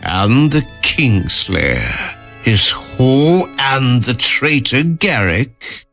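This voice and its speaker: A weary, menacing dark fantasy king drawls with a gravelly edge and a hard, forceful tone. His delivery is extremely slow, sardonic and imperious, set over faint music in an audio drama. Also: medium pitch, very animated, full character voice. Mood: cold, menacing.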